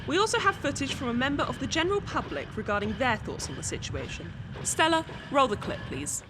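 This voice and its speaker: Young woman as earnest news reporter on location, high animated voice, noisy background. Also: brisk. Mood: inquiring. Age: young adult. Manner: inquiring, formal. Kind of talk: scripted news interview drama.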